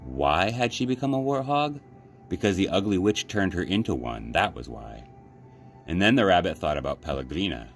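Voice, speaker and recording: A man reading a children's novel aloud as a library storyteller, with measured, dramatic phrasing. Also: low-pitched, sing-song, soft background music. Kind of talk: children's audiobook-style read-aloud. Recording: clear background noise.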